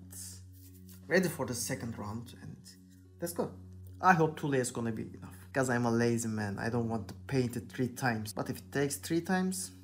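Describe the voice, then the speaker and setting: A man's voice: mid-range voice, lively intonation, normal speed, faint music behind. A young Turkish man with a Turkish accent, chatting casually in hesitant, searching English while he paints a figure at his workbench. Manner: hesitant, searching for English words, many "you know". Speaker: hobby painter, channel host. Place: indoor workbench.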